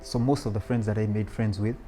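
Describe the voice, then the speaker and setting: A man with a lowish voice recalls his youth for an interviewer. He speaks in a relaxed, conversational way and laughs often. A faint hum of room noise sits beneath him. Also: a little fast. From Uganda. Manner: laughs often, frequent restarts and fillers. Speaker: guest.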